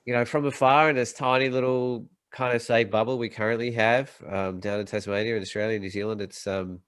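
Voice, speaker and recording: A man's voice: medium pitch, steady tone, brisk, a bit bright, clean tone, no room sound. A man from the United States hosting a podcast interview over a video call, rambling with many fillers and restarts. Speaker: podcast host. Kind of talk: casual interview chat.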